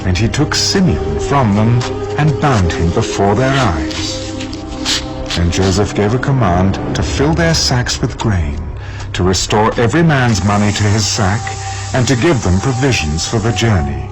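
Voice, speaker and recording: A male narrator tells a scripture story solemnly and dramatically. His voice is low with lively inflection, set over clear music. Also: unhurried. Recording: music under the voice.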